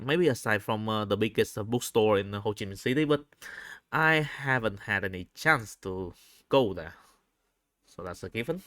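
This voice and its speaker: A man speaks in a medium-pitched voice, recorded dry and without room sound. He rambles casually as if keeping an audio diary, hesitating and circling back over his own phrases. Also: fairly bright tone, no room sound. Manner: hesitant, halting, often repeating phrases. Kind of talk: casual unscripted personal monologue.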